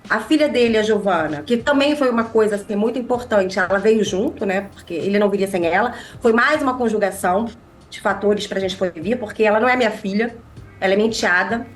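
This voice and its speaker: A woman's voice: mid-range voice, fast talker. Slightly nasal Brazilian woman with a cold. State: mild cold. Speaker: podcast guest, immigration lawyer. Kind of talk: casual chat.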